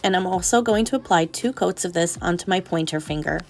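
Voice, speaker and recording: A young adult woman talks viewers through a nail tutorial in a chatty, explanatory way. She sounds a little stuffed up and nasal from a cold, and her voice sits on the lower side. Soft background music plays faintly behind her. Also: fairly quick. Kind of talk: tutorial voice-over narration.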